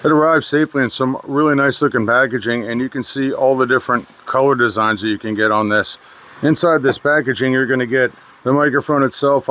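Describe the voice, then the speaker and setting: A man's voice: medium pitch, fairly bright tone. A middle-aged man with a nasal voice, giving a plain, friendly product review.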